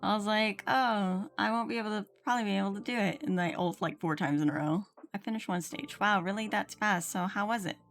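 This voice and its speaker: A woman's voice: medium pitch, brisk, few pauses, a bit bright. A woman livestreaming a game, chatting casually as she thinks aloud and reads dialogue out. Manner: thinks aloud, playfully mimics game lines. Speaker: game streamer. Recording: game music behind the voice. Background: video game music.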